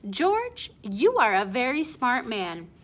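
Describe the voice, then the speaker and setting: A proud, stern working father from a children's storybook , acted out by a woman reader. He speaks in bright, forceful, emphatic declarations and lectures with firm conviction. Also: fairly high pitch, wildly swooping pitch, slow pace, voice pushed up, full character voice.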